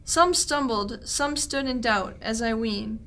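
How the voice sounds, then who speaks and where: Woman as bright, slow-spoken shepherd lad. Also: on the high side. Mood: anxious wonder. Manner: earnest, declamatory verse delivery. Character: young male shepherd servant, fearful, put-upon and complaining. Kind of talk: amateur radio play verse acting.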